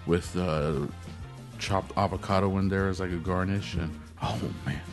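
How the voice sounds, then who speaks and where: Laid-back low-voiced man hosting. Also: fairly even intonation, normal speed, over music. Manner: laid-back, rambling, swears casually. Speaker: podcast host. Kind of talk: casual chat.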